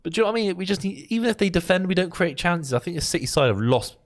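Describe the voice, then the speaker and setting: A man co-hosting a casual live football watchalong, animated and pleading. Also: high voice, very fast. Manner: animated, pleading, gestures with his hands. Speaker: co-host, football fan.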